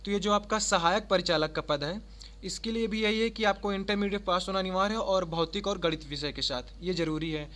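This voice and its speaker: High-pitched man explaining. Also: a little fast, fairly bright tone. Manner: instructional, repeats and rephrases points with check-in tags. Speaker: YouTube exam-prep presenter. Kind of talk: informal explainer of job notices. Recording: faint background noise.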